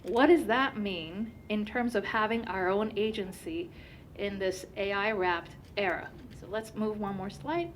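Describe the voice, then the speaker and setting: A woman whose first language is Turkish, giving a conference presentation in an animated way with many fillers. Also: mid-range voice, unhurried, slight background noise.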